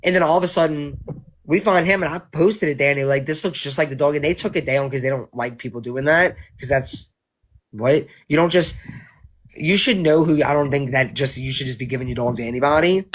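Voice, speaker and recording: A woman's voice: low-pitched, brisk. Rambling woman on a livestream. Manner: rambling, restarts sentences often. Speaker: livestream co-host. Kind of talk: casual livestream chat.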